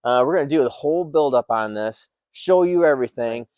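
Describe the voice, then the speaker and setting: A man in his fifties talks casually in his workshop, full of "uh"s and restarts but plainly enthusiastic. His voice sits at an ordinary middle pitch, and the sound is close and dry with no room echo. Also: animated, a little fast, darkish tone. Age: 50s. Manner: rambling, lots of "uh" and restarts, enthusiastic. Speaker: engine builder and shop owner. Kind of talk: casual walkaround of a project car.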